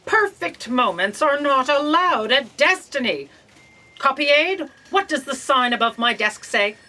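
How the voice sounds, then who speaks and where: A woman acts out an imperious female boss in a comic audio drama. Her high, bright voice swings widely as she commands and needles her underlings with theatrical sarcasm. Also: animated, a little slow, full character voice. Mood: stern. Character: imperious exasperated female boss of a bureaucracy of fate.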